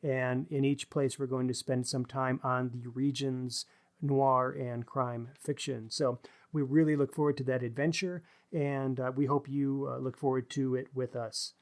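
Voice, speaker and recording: A man's voice: mid-range voice, level tone, unhurried. A man co-hosting a podcast, speaking conversationally with a wry manner as he makes show announcements. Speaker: podcast co-host.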